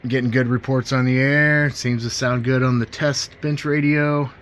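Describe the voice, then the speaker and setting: A man's voice: mid-range voice, steady tone, normal speed, fairly bright tone. A man giving a casual hands-on demonstration as a hobbyist host, talking in a folksy, informal, enthusiastic way. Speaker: host of a CB radio demo channel. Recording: clear background noise.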